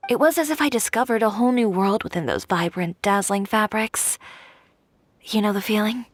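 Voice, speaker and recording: A woman's voice: medium pitch, normal speed, a little hiss or hum, natural voice. A woman voicing a proud, headstrong young woman tailor who speaks in a cool, confident and frank way. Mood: reflective. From Inazuma.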